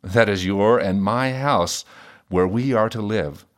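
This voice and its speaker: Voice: low voice, slow pace, pitched below the performer's own voice. A storyteller voicing a bossy fiddler husband in a fairy tale, blunt and reproachful. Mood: brusque. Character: gruff, bossy fiddler husband, scolding and matter-of-fact.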